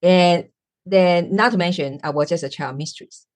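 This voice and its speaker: A woman's voice: on the low side, normal speed. A woman from Taiwan, hosting an interview podcast and searching for her words as she speaks. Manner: searching for words, with many fillers. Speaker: podcast host.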